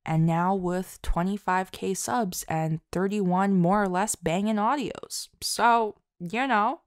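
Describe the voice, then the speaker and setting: A woman talking casually and from the heart to her listeners, halting and self-deprecating, in an informal way. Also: mid-range voice, smooth, no echo, studio quality. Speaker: audio roleplay and ASMR creator.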